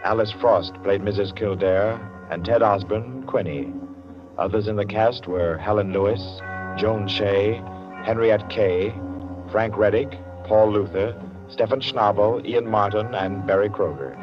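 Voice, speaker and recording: A man announcing formally and with measured phrasing in scripted 1940s radio style. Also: fairly low pitch. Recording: muffled 1940s radio recording. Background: loud dramatic music.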